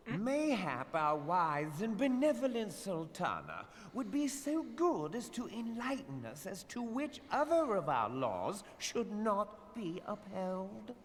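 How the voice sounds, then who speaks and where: A man's voice: high voice, heavily acted. A man playing a smug, scheming, wealthy merchant lord in a video game, speaking in a haughty, mocking, oratorical manner. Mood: sarcastic, taunting. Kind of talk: theatrical video game voice acting. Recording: reverberant hall, light background noise.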